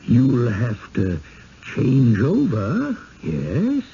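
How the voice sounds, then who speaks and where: An actor playing a courteous, eerie village official who patiently coaxes newcomers, in 1970s radio drama style. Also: male voice, mid-range voice, huge pitch swings, very slow, vocal fry, warm tone, heavily acted. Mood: eerily reassuring. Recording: dull, hissy old radio broadcast.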